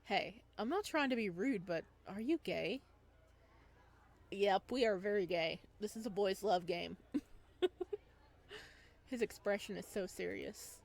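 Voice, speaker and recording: A woman's voice: mid-range voice, animated, measured pace, vocal fry, pauses often. A woman gaming streamer with a giggly, playful manner, reading visual novel text aloud and commenting as she plays.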